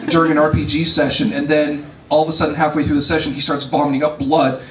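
A man's voice: on the high side, fairly quick, fairly bright tone. A middle-aged man, a game master, chatting casually on a convention panel. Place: large convention room. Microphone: handheld mic, heard from across the room. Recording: echoey room from far off.

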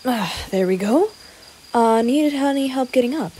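A woman's voice: fairly high pitch, lively intonation, unhurried, warm tone, a little hiss or hum, poor audio. A woman voicing a goofy, reckless young male adventurer in an audio roleplay, bumbling and playful as the character talks to a companion. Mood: cheerful, bumbling. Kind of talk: audio roleplay addressed to the listener.

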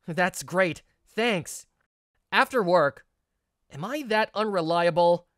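Woman as a low-voiced, earnest young man. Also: slow, dry recording, clean studio sound. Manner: earnest, expressive. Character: earnest, stressed young male office worker and mentor. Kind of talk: first-person narration and dialogue in manga video.

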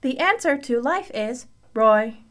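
A woman as a slow, doting ship computer. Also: high-pitched, animated, fairly bright tone, full character voice. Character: devoted, obliging female spaceship computer. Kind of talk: comic radio play acting.

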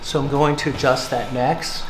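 An older man working as a chiropractor, calmly guiding patients through adjustments with upbeat coaching. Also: mid-range voice, normal speed. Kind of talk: hands-on treatment talk. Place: bright clinic room. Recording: echoey room with clear background noise.

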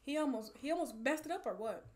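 A woman in her twenties giving casual, slangy, animated reaction commentary and swearing freely. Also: high-pitched, fast talker, background noise. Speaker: movie reaction host. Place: small indoor room set up as a streaming studio.

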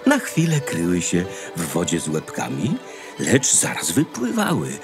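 A man's voice: deep voice, wildly swooping pitch, measured pace. A man narrating a scripted fairy tale as the narrator of a radio play. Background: music.

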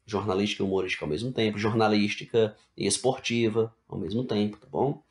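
A man's voice: lowish voice, fairly even intonation, fast. A male teacher giving an online class, reading slide text aloud and then rephrasing it in plain words, often checking in with the students. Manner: explains casually, checks in with "né?" and "tá bom?".

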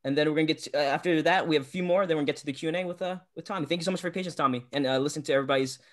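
Brisk man hosting a video call. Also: a little high, steady tone, a bit bright. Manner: brisk, keeps things moving. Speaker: host.

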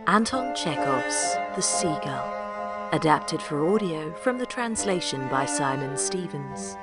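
A woman's voice: deep voice, expressive, slow pace. A woman announcer reading a scripted introduction to an audio drama. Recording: loud music under the voice. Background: music.

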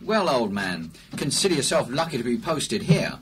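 A man's voice: mid-range voice, very animated, moderate pace, fairly bright tone. A man playing a wry, teasing radio designer. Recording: noticeable background hiss.

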